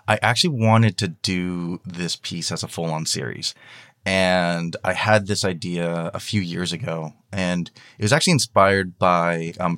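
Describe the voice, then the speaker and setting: Deep-voiced man as interview guest. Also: a bit bright. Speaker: interview guest, audio drama writer. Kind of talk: conversational interview.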